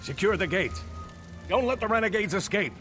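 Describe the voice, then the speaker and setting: An actor playing an urgent human military commander in a video game cutscene, with a clipped, commanding delivery. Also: male voice, sits high, expressive, a little fast, over music. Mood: urgent, shouting orders.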